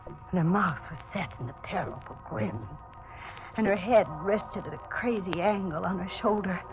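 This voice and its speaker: A woman's voice: lowish voice, moderate pace, over music, natural voice. An actress playing a terrified, distraught young woman narrating a murder mystery in dramatic 1940s radio style. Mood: haunted, dread. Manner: breathless, dramatic, anguished. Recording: dull, noisy 1940s radio recording.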